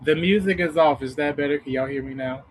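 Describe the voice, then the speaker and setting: Brief: man in his mid-thirties, livestream host, a bit high-pitched, reflective and heartfelt. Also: quick pace, a little hiss or hum. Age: 30s. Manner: reflective, earnest, rhetorical "okay?" check-ins. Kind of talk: casual livestream self-help talk.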